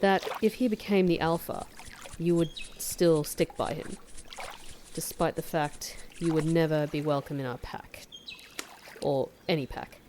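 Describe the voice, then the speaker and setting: Haughty, low-voiced werewolf beta played by a woman, noisy background. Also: fairly even intonation, a little slow, a little mellow. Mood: probing, serious. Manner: curt, formal, disdainful. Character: proud, haughty young female werewolf pack beta. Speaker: voice actor in an audio roleplay. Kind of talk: scripted audio drama acting.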